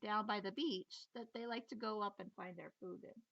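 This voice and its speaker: A woman teacher reading a children's nature book aloud in an expressive, playful storytelling manner, with chatty asides. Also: medium pitch, moderate pace, creaky, lo-fi sound, a little hiss or hum.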